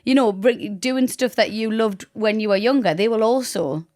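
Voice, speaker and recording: A woman's voice: on the high side, normal speed, a little mellow. North East English woman chatting casually. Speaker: podcast host. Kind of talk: casual chat.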